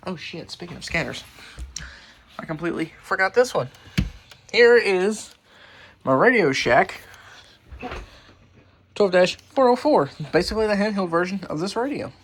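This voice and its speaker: A man with a noticeably creaky voice talks casually through a show-and-tell. He rambles, mutters asides and swears mildly. Some faint-to-clear background noise sits around him. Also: sits high, expressive, normal speed, pauses often, fairly bright tone. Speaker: radio collector and host. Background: weather radios playing.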